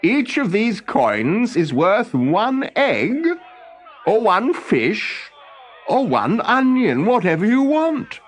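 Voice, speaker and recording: A man's voice: sits high, big pitch swings, slow, voice pushed up, full character voice. A man voicing an earnest, self-assured male inventor who explains things in a children's story. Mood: eager. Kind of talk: storybook character voice.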